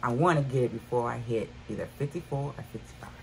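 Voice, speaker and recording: A woman's voice: very deep voice, fast, vocal fry, a little mellow, slight background noise, rough recording. A woman in her fifties chatting casually to viewers from her bedroom about items she bought, calling them y'all. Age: 50s. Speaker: haul vlogger. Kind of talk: casual haul chat. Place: bedroom at home.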